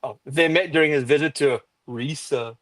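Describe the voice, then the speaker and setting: A woman's voice: low voice, normal speed, no echo. A woman playing a polite, slightly jealous female starship doctor in a live script table read. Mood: polite, guarded.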